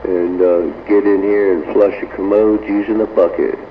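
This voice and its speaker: A man's voice: on the low side, little pitch movement, normal speed. A middle-aged man with a Southern American accent, chatting in a folksy way over CB radio. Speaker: amateur radio operator. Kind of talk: casual radio chat. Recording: tinny, distant, noisy CB radio reception.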